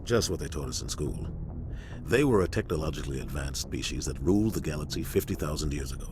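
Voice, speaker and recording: An actor playing a commanding middle-aged starship captain in a video game, with a measured, authoritative military delivery. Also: male voice, extremely low pitch, animated, background noise. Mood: earnest, explaining. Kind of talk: video game dialogue acting.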